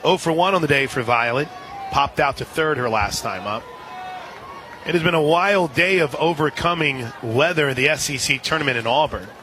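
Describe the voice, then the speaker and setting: A man calling softball play-by-play on the radio, conversationally filling in scores and details between pitches. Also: lively intonation, moderate pace. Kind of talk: live softball radio commentary. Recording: outdoor stadium crowd behind the voice. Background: crowd noise.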